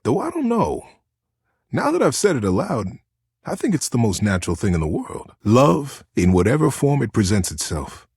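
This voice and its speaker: Folksy, swooping teddy bear. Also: male voice, mid-range voice, huge pitch swings, moderate pace, darkish tone, slightly rough, no echo, clean studio sound, exaggerated voice. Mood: tender, flirty.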